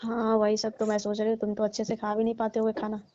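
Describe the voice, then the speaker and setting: A woman's voice: mid-range voice, completely flat delivery, quick pace, warm tone, no echo, natural voice. A woman playing a flirtatious middle-aged auntie, coaxing and teasing in a casual phone conversation. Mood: affectionate. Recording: muffled lo-fi phone call recording.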